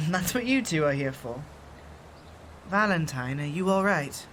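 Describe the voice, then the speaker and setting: An actor playing a dignified exiled shifter who speaks in formal, measured, old-fashioned phrases. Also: male voice, on the high side, animated, a bit bright, a little hiss or hum. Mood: solemn.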